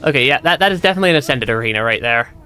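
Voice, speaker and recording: A man with a bright, tense voice that swings widely in pitch while he streams a game. His voice is going hoarse and breaks now and then, and he giggles and stammers through his casual commentary. Music plays faintly behind him. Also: animated, racing. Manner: giggly, laughs often, stammers and repeats words. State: losing his voice, voice cutting out.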